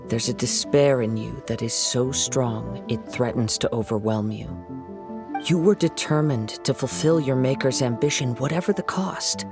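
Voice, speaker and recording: A man plays a principled young swordsman in a video game drama. He has a mid-pitched voice with a creaky edge and speaks in composed, formal sentences, earnest and reasoning. Faint music runs behind him. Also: normal speed, lightly acted. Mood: grave, reproachful.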